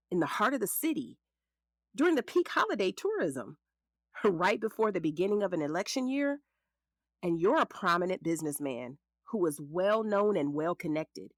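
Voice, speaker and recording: A woman's voice: fairly low pitch, no echo, studio quality. An actress playing a frank, sassy middle-aged lawyer in a naturalistic audio drama, protective of the ex-husband she represents. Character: frank, sassy female lawyer, protective ex-wife of her client. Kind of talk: naturalistic scripted drama dialogue.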